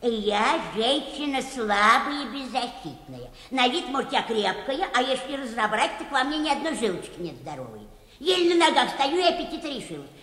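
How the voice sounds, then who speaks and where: An actress playing a middle-aged petitioner, plaintive, stubbornly repetitive and quarrelsome, in a comic radio play. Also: female voice, sits high, sing-song, normal speed, a bit bright. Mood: plaintive whining. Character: whining, brazen, nagging petitioner woman, petty official's wife. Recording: roomy, reverberant radio drama recording.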